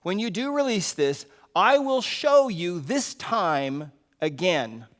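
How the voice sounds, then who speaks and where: A man reading the part of God in a story as a gentle, consoling, patient father, measured and reassuring. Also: high-pitched, very animated, heavily acted.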